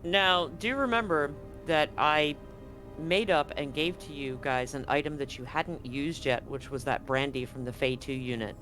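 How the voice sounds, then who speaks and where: A man's voice: on the high side, moderate pace, sharp tone, faint music behind, poor audio. A man running a tabletop roleplaying game as game master, describing scenes in a rambling, self-correcting way.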